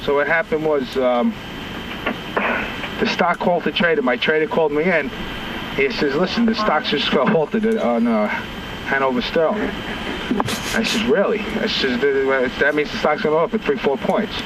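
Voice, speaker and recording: Noisy phone call, guy with slightly high voice, halting and evasive, repeats himself. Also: lively intonation. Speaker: stockbroker. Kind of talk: prank phone call conversation.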